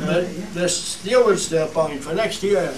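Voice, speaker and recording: A man's voice: a little high, unhurried, roomy sound, distant mic, natural voice, low-quality recording. Man acting old Cumbrian shepherd, homely meeting chairman, hearty, radio drama, set back from the mic, faint noise. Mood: businesslike, genial. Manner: homely, rallying, repeats phrases. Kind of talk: dialect radio play acting. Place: theatre studio.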